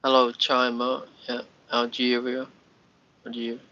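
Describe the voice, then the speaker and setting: A Scottish man in his thirties, lying on a sofa and rambling to his livestream viewers, often restarting his words and sounding a little congested. His voice is bright and edged. The phone recording sounds dull and lo-fi. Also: mid-range voice, monotone, unhurried. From Scotland. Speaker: livestream host. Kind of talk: casual live chat with viewers. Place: small bedroom, lying on a sofa. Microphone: phone held at arm's length.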